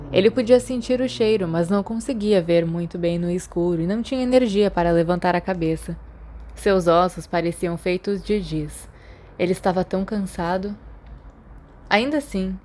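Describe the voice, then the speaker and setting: A woman's voice: lowish voice, a little mellow. A woman with a Brazilian accent reading scripted story narration as an audiobook narrator.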